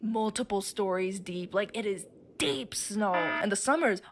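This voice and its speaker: A young woman from Japan streaming and chatting casually, animated and swearing freely as she acts out what other people say. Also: medium pitch, measured pace, background noise. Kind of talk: casual chat. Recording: music playing behind.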